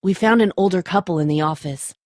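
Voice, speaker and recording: Woman acting a tough stressed survivor boss lady in a zombie game, lowish voice, blunt and protective, super dry sound. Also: fairly quick, darkish tone, no echo, lightly acted. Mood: weary, explaining. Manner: blunt, urgent, commanding. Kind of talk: dramatic video game voice acting.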